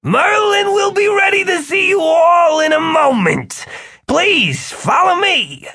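Audiobook role: a jovial, over-courteous male receptionist, pitched far up, stretching his formal words. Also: wildly swooping pitch, slow pace, gravelly, pushed and bright, slightly rough, dry recording, studio quality, heavily acted. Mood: forced jovial. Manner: forced joviality, formal, drawn out. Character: gushing, theatrically courteous male castle receptionist.

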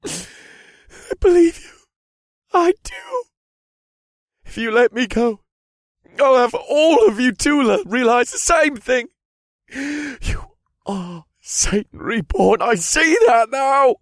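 A man's voice: very high voice, big pitch swings, slow, long pauses, no echo, clean studio sound, voice pushed up, full character voice. A man voicing a terrified, grovelling male warlock in an audiobook, stuttering and pleading in panic. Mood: tearful terror.

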